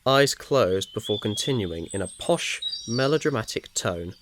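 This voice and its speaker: Male narrator, low pitch, soothing measured story reading, faint nature ambience with birds and insects. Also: lively intonation, background noise. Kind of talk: scripted second-person ASMR story narration.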